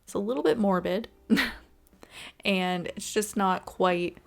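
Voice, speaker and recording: A woman giving casual, chatty and expressive voice-over commentary as she plays a video game. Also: medium pitch, slow pace, gravelly. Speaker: gaming video creator. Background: background music.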